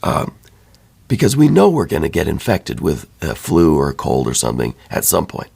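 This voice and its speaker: A man with a hoarse voice, worn down by laryngitis, giving a soft, thoughtful ASMR tarot reading. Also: a bass voice, wildly swooping pitch, moderate pace. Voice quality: hoarse, breaking into whispers. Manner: soft, thoughtful, with many fillers and repeats. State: losing his voice from laryngitis. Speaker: tarot reader.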